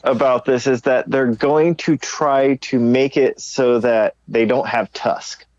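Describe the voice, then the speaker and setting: A man's voice: mid-range voice, fairly even intonation, slow pace, darkish tone, highs cut off. A man chatting casually and informally as a podcast co-host.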